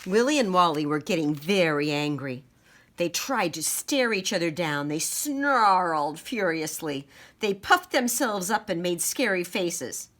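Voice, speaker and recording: A woman reading a children's picture book aloud in a dramatic, deliberate storytelling manner. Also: lowish voice, lively intonation, fairly bright tone.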